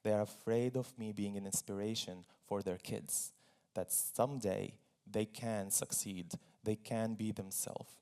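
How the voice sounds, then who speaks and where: A man's voice: on the low side, steady tone, a little slow, few pauses, dark tone, clear, resonant tone. A man from Syria speaking on stage as a guest at a forum, telling his personal story earnestly, with small laughs and repeated phrases.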